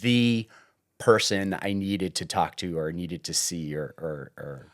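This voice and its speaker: Low-voiced man, joking and chatty. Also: slow pace. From suburban New York. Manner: joking, deadpan teasing, lively storytelling. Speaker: podcast guest, TV writer. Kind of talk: casual interview chat.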